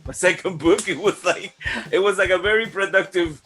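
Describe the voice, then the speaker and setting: A man's voice: sits high, moderate pace, soft background music. A young adult man hosting a podcast interview, talking loosely with lots of "like" and fillers. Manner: loose, filler-heavy, warm-hearted chatter. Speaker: podcast host. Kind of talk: casual interview chat.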